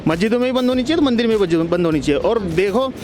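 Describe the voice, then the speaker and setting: Man, North Indian accent, high pitch, rapid argumentative delivery, street vox-pop. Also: lively intonation, a crowd in the background. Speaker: member of the public being interviewed. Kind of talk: casual political opinion. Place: outdoors on a city street.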